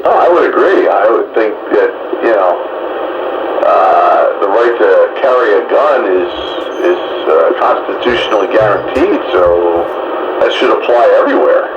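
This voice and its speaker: An American man in casual political discussion, reasoning in a halting, measured way with hesitant pauses. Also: on the low side, lively intonation, vocal fry. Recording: roomy, noisy lo-fi radio audio.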